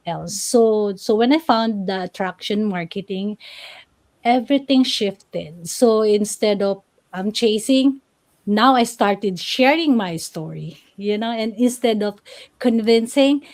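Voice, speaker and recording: A woman hosts a live online chat from a video call. She talks in a loose, chatty way, restarting her sentences and filling gaps with small words. Her pitch sits in the middle. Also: darkish tone. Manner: chatty, many fillers and restarts, frequent laughs. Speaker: host of a live online panel. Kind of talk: casual live stream hosting.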